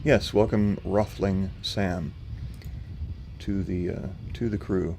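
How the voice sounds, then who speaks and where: A man with a very low, slightly rough voice hosts a live stream from home. He talks in a calm, level tone full of small fillers. A faint hum of noise sits under his voice. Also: slow. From not from North Carolina. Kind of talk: casual livestream commentary answering chat.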